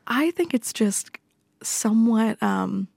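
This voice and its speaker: A woman's voice: on the high side, animated, takes it slowly, creaky, a little mellow, slightly rough, no room sound. A woman in her twenties from Canada, a guest chatting in a radio studio in a playful, self-deprecating way. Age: 20s. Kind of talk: casual chat.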